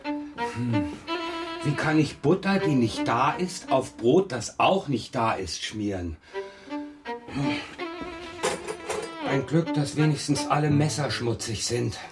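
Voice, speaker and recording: A man's voice: mid-range voice, lively intonation, slow, pauses often, over music. An actor playing a playful, evasive middle-aged father who roams about and writes, with whimsical, hesitant phrasing in a children's radio play. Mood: wry. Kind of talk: German children's radio drama dialogue.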